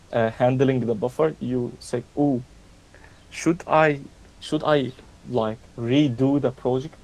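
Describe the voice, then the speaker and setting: A young man from Egypt presenting an online coding tutorial in a casual, halting way, with many fillers and restarts. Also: mid-range voice, mellow, poor audio. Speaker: learning ambassador, session presenter.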